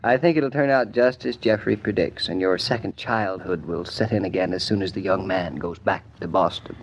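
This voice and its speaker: A man's voice: sits low, moderate pace, slight background noise. An actor playing a genial husband in his forties in a 1950s radio comedy, with a hesitant, drily amused delivery. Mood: wry. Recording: dull old radio broadcast recording.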